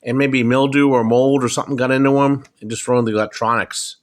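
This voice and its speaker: A man's voice: medium pitch, fairly even intonation, a little fast. Plain-spoken middle-aged American man. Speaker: hobbyist presenter. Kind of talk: casual off-the-cuff product show-and-tell. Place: indoors at a wooden table in a home. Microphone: handheld phone, speaker behind camera.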